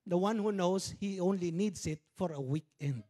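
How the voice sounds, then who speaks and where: Filipino pastor with a handheld mic. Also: male voice, high voice, normal speed, mellow. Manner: conversational, mixing in Tagalog asides and jokes. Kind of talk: sermon. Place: church stage with dark curtains.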